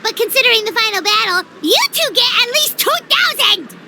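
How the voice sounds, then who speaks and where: Squeaky, very high-pitched little fairy girl. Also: lively intonation, a little fast, vocal fry, over loud music, exaggerated voice. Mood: playful, dramatic. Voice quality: squeaky, childlike. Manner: exclamatory, speaks of herself in third person. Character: tiny chirpy excitable fairy companion, childlike girl. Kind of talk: video game cutscene acting.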